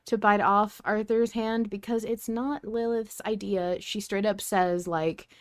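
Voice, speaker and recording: A woman's voice: mid-range voice, fairly even intonation, a little slow, no room sound, clean studio sound. A woman hosting a podcast in a casual, chatty style. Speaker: podcast host.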